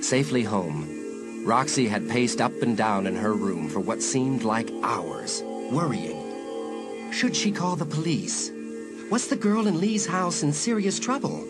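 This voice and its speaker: A man narrating a suspenseful dramatised audiobook in a measured way with dramatic pauses. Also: medium pitch, big pitch swings. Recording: loud music behind.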